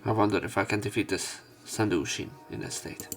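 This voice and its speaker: A man with a low, gravelly voice narrates a visual novel for a let's play. He stumbles now and then over words as he reads and comments, with faint music playing behind him. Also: fairly even intonation, fairly quick. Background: soft game music.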